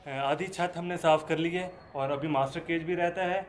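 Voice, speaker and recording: A man's voice: fairly high pitch, steady tone, moderate pace. A young man casually chatting to viewers in a pet vlog, tired from the heat and cleaning work, recorded outdoors on a camera mic. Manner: chatty, addresses viewers as friends. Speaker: pet vlogger. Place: outdoor rooftop in summer heat. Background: background music.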